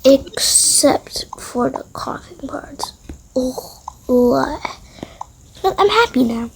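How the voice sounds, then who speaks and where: A woman's voice: high voice, expressive, slow pace, vocal fry, in a whisper, low-quality recording. A female voice improvising a roleplay with toy game characters, slangy and drawling, with sighs. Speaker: roleplay video creator voicing toy characters.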